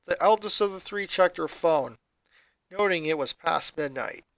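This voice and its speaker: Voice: medium pitch, moderate pace, fairly bright tone, no room sound, low-quality recording. A narrator reading a scripted story aloud. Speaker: fan fiction reader.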